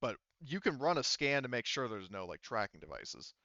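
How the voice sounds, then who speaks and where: A man running a tabletop roleplaying game as game master, explaining casually and often pausing to think aloud. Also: mid-range voice, very animated, sharp tone, no echo, dull audio.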